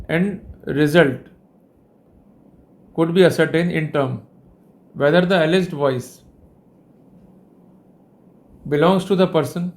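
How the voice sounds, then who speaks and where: Higher-pitched Indian man lecturing formally. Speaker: legal educator presenting a lecture.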